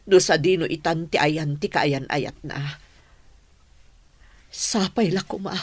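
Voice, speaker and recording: A woman's voice: low voice, slow, husky, no room sound, full character voice. A woman acting in an Ilocano radio drama as an anxious, caring figure, earnest and dramatic. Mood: worried. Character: anxious, caring woman fretting over loved ones.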